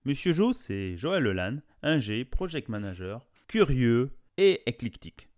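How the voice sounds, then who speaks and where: Man hosting a podcast, medium pitch. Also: very animated, unhurried, a little mellow. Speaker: podcast host, interviewer.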